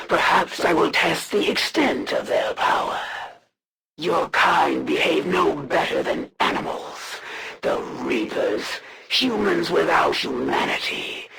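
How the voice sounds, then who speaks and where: An actress voicing a proud, cold alien assassin in a video game, speaking in a formal, theatrical, deliberate way. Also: female voice, deep voice, gravelly, background noise, exaggerated voice. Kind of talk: video game villain voice lines.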